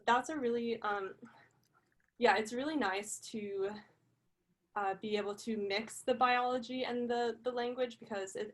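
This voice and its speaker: A young adult woman giving an informal, explanatory account as a student panelist, in a slightly hesitant way. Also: mid-range voice.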